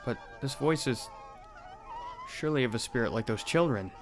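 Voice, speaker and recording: A man's voice: mid-range voice, animated, normal speed, noisy background. A young man hosting a let's play, reading out a horror game's dialogue with some drama and swearing in asides. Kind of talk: reading game text aloud with commentary.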